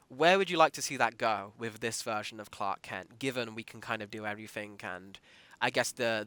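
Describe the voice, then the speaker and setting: Bright, clear-voiced male podcast interviewer. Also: mid-range voice, no echo. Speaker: podcast host interviewing an actor. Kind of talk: conversational interview.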